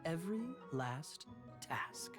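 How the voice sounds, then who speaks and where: A woman voicing a composed, courteous knight in an anime video game, smooth and gently teasing. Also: sits low, animated, takes it slowly. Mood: reassuring. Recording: soft game music behind.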